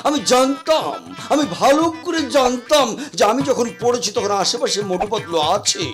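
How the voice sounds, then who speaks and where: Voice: medium pitch, expressive, moderate pace, soft background music, natural voice. A performer playing a pompous, repetitive, easily flustered policeman in an exaggerated children's cartoon dub. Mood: angry, threatening.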